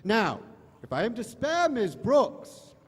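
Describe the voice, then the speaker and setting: In a big echoing hall, a man performs a monstrous alien in professor's disguise for an audio drama. The voice is high and very animated. He gloats theatrically, with mock courtesy and dry wit. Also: slow, breathy edge, exaggerated voice. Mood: gleeful menace. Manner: theatrical, droll, mock-polite. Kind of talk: live stage audio drama. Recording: echoey hall stage recording.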